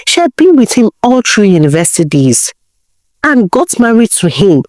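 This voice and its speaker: A woman's voice: medium pitch, big pitch swings, normal speed, a little mellow, dry recording, studio quality. A woman with a Nigerian accent telling a folktale as a dramatic storyteller.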